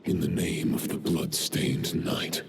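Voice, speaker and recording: A man voicing a formidable, cold warrior lord who speaks in a slow, measured, formal way. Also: deep voice, monotone, gravelly, very noisy, full character voice.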